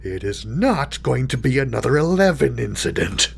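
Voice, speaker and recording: A man's voice: medium pitch, very animated, normal speed, creaky. An actor playing an irritable, obsessive scientist muttering hesitantly to himself and his drone in an audio drama. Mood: anxiously determined. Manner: muttering, self-reassuring, hesitant, swearing.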